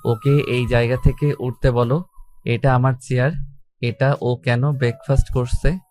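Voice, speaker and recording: A man's voice: mid-range voice, level tone, measured pace, a little mellow, close to an everyday voice. Male actor for petulant, mischievous lovestruck boy, mid-range voice, audio love drama. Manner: petulant, complaining. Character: sulky mischievous teenage boy secretly in love with older cousin.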